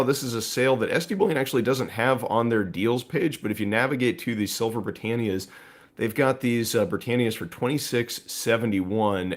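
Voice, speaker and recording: A man with a hoarse, raspy voice hosting a live stream, talking through market news casually to viewers. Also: mid-range voice. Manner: conversational, with frequent fillers and asides to viewers. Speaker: host of a precious metals live stream.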